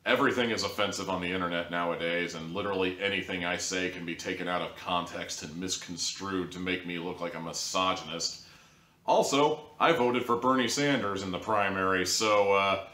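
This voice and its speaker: A man reviewing an audio drama in a rambling, sarcastic monologue, his pitch jumping up now and then for emphasis. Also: brisk, bright, forceful tone, roomy sound.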